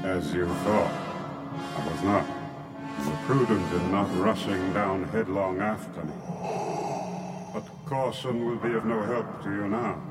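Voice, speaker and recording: An actor playing a menacing, commanding dark lord in a space-opera drama, speaking formally and deliberately. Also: male voice, lowish voice, fairly even intonation, close to an everyday voice. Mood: cold, taunting. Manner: formal, imperious, deliberate delivery. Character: menacing armored dark lord, commanding older male villain. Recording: loud music and effects behind.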